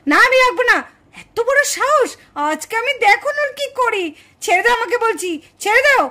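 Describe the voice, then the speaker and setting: Shrill, scolding village daughter-in-law. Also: female voice, animated, a little fast, sharp tone, full character voice. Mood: furious. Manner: scolding, indignant, exclamatory. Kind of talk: puppet cartoon family drama acting.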